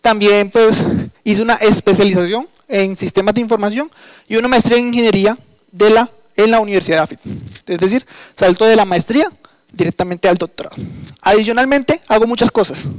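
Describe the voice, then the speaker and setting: High-voiced man with a coastal Colombian accent. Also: brisk, dry recording, low-quality recording. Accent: Colombian Caribbean coastal Spanish. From Colombian Caribbean coast. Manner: explanatory, with frequent fillers and restarts. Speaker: workshop instructor. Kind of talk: online lecture.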